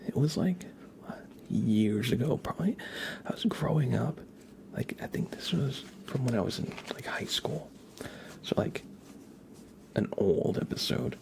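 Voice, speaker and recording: A young man giving a soft, whispered ASMR tarot reading while chatting in a relaxed, rambling way with viewers. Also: medium pitch, measured pace, vocal fry, pauses often.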